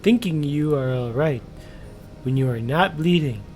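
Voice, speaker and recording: A man voicing a smug, teasing captive in a story, slow and condescending, with noise behind him. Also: darkish tone, close to an everyday voice. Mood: mocking, condescending. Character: smug, teasing male captive with strange spells, slow and mocking. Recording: clear background noise with low rumble.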